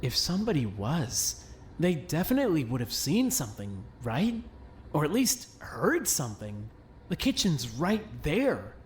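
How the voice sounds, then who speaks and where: Actor as a brisk young investigator, expressive pitch. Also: a little hiss or hum. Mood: curious. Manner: pressing, matter-of-fact. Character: brisk, exasperated young investigator keeping partner focused. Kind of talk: animated mystery fan game voice acting.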